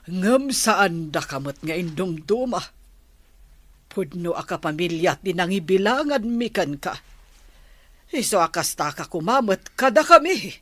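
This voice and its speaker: An actress playing an old grandmother in a radio drama, speaking slowly and heavily. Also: on the low side, sing-song, dry recording. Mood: pleading. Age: older. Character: old grandmother, grave and sorrowful.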